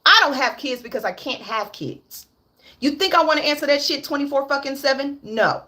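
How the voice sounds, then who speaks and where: A woman's voice: high-pitched, animated, brisk, bright, forceful tone. Blunt, profane woman ranting on a livestream. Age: 30s. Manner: blunt, profane, repeats herself for emphasis. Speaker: livestream host. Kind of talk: candid livestream rant.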